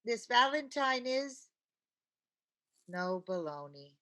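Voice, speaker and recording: An actress playing a wry, scatterbrained housewife with sardonic comic timing in an old-time radio sitcom. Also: female voice, fairly high pitch, sing-song, takes it slowly, fairly bright tone, exaggerated voice. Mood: playful, sentimental.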